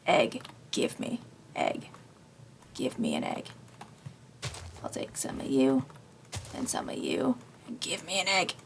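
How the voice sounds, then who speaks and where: Woman gamer, slightly raspy, gravelly, lively pitch, rambling self-deprecating commentary, faint keyboard noise behind. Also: takes it slowly, long pauses. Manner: rambling, self-deprecating, talks to herself while playing. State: slightly raspy from long talking. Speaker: gaming channel host. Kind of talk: casual Minecraft let's play commentary.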